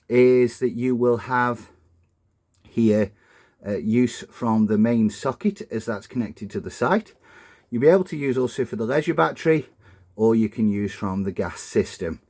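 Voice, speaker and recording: A man with a mid-pitched voice presents a practical walkthrough. He talks methodically, setting out each step as he demonstrates it, in the unscripted manner of an instructional video. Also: darkish tone. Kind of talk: hands-on demonstration, unscripted explanation.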